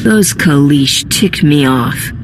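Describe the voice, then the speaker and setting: A woman's voice: low-pitched, expressive, takes it slowly, loud music behind the voice, exaggerated voice. A woman playing a cocky, sarcastic smuggler captain in a scripted science fiction game. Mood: sarcastic, defiant. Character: cocky, sarcastic young female smuggler captain, space rogue. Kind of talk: scripted game cutscene dialogue.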